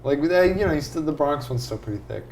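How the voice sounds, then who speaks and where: A man's voice: fairly high pitch, animated, quick pace, crowd noise. A man from California with a mild Californian accent, chatting casually in a rambling, tentative way. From California, United States. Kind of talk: casual chat.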